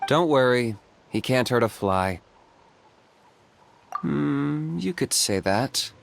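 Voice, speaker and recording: A man playing a calm, laconic young hunter in a video game, speaking in a matter-of-fact, deadpan way. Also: mid-range voice, slow pace, creaky, slight background noise. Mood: calm, reflective.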